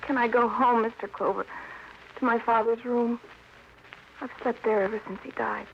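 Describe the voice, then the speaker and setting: An actress playing a distraught, bitter young daughter, pleading and repeating herself in an old radio crime drama. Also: female voice, on the high side, fairly even intonation, normal speed, creaky. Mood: bitter, weary. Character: distraught young daughter, bitter, insisting her father was murdered. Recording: muffled, hissy old radio broadcast.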